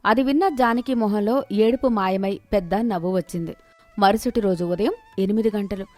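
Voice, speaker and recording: A woman storyteller giving an expressive scripted narration of a moral tale. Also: medium pitch, normal speed, no room sound, soft background music.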